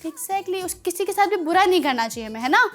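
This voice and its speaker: A woman's voice: very high-pitched, expressive, fairly quick, soft background music. A woman hosting a children's show, telling stories in a teacherly way with frequent questions to her listeners. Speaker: children's show host and storyteller. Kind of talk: storytelling to children.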